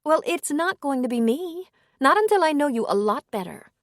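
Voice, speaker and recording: A woman's voice: sits high, very animated, normal speed, fairly bright tone, no echo, studio quality, pitched above the performer's own voice. A woman voicing a wary, flustered aid worker, anxious and teasing, in a lifted register. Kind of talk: audiobook character reading.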